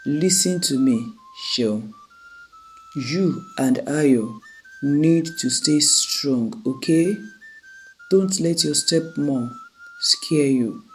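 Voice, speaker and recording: A narrator reading as a determined, protective aunt in a told story. The delivery is slow and earnest, in short deliberate phrases, over background music. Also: medium pitch, lively intonation, low-quality recording. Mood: reassuring, firm.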